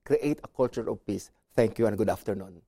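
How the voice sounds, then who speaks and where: A man speaking as an invited speaker, politely and haltingly answering his audience. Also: fairly low pitch, mellow. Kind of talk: public talk and audience replies.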